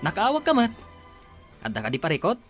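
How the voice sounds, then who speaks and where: A woman's voice: on the low side, wildly swooping pitch, fairly quick, faint music behind. A woman playing an inquisitive, concerned acquaintance in an amateur audio drama, with an Ilocano accent.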